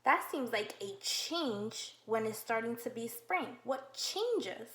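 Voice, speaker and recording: A woman teacher reading a picture book aloud to young children, slow and encouraging, with drawn-out questions to the class. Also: a little high, animated, fairly bright tone. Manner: slow, encouraging, exaggerated sing-song questioning. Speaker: kindergarten teacher. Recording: roomy echo.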